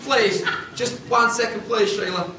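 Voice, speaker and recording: Brief: high, clipped, hurried squirrel, voiced by a male storyteller, noisy live room. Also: fairly even intonation. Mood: pleading. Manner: hasty, clipped, pleading. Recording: echoey room from far off, noisy.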